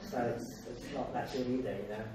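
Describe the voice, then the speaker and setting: A man's voice: medium pitch, steady tone. Older Australian man, very echoey hall. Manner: conversational, halting short phrases, self-deprecating. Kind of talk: informal public talk. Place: indoor lecture hall. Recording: very echoey hall, distant mic, noisy.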